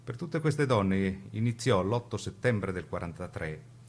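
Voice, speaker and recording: A man narrating a scripted radio documentary-drama with formal, deliberate phrasing. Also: fairly low pitch, lively intonation.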